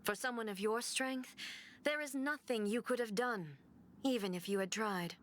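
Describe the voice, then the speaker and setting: A woman's voice: a little high, normal speed, noisy background, lightly acted. An actress voicing a stern, godlike female ruler in a game, formal and commanding, over game music. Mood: imperious. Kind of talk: game dialogue voice acting. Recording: game audio with music behind.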